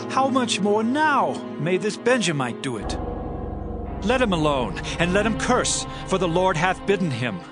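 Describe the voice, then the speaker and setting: A man's voice: high voice, very animated, measured pace. A man playing a grave, long-suffering ancient king, solemn and declamatory, in a dramatized scripture reading. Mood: resigned, pleading. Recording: loud background music.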